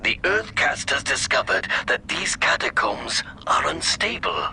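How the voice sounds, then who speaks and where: An actor playing a calm, dutiful alien military commander in a video game, speaking in a firm, measured, tactical manner. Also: male voice, mid-range voice, vocal fry, slight background noise, heavily acted, rough recording. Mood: resolute. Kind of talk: video game dialogue acting.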